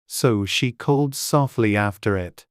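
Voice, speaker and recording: A woman's voice: extremely low pitch, measured pace, clean tone, no room sound, studio quality. A woman reading scripted storybook narration as an audiobook narrator.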